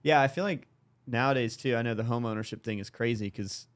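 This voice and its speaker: A man co-hosting a podcast, asking in a relaxed conversational way. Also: medium pitch, fast talker. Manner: relaxed, many fillers and hedges. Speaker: podcast co-host. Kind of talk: casual interview chat.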